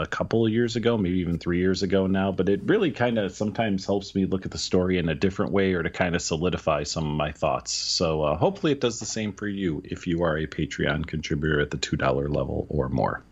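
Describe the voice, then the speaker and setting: A man's voice: sits low, fast talker, hardly any pauses, breathy edge, dull audio. A man co-hosting a book podcast in a casual, rambling way, often restarting his sentences. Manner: rambling, self-correcting, candid. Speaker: podcast co-host.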